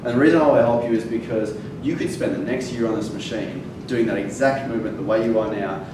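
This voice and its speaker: A man's voice: lowish voice, quick pace. A male fitness educator giving a classroom lecture, emphatic and punching key words, with frequent fillers. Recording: very echoey room from far off, noisy.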